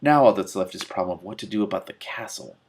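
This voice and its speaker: A man voicing a brisk, commanding witch with a stern, dry manner in a storybook. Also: deep voice, vocal fry. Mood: wry.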